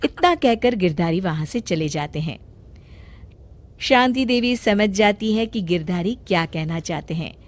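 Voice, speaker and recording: A woman's voice: mid-range voice, animated, unhurried. A woman reading scripted storybook narration for a cartoon, as the storyteller.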